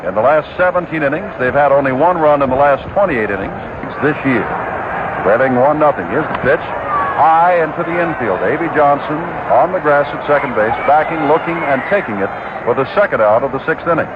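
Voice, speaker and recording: High-voiced radio baseball announcer. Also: male voice. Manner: brisk, matter-of-fact calling of plays. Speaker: play-by-play announcer. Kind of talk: live radio baseball commentary. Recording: tinny, hissy 1969 radio broadcast.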